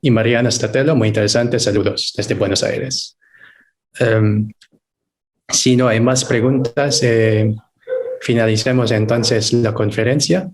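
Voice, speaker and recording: A man's voice: medium pitch, steady tone, a little slow. A man moderating a webinar for an embassy, politely and formally reading comments and giving closing remarks with frequent hesitation.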